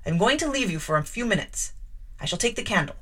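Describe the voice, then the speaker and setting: A woman reading an audiobook, voicing a gruff, imperious middle-aged gentleman who has just been woken. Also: medium pitch, expressive, fast. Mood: commanding. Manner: blustering, imperious.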